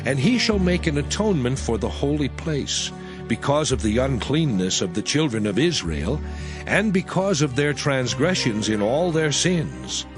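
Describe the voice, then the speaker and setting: A man narrating scripture as a measured, expressive reading in archaic English. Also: mid-range voice. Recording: music bed under the voice. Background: music.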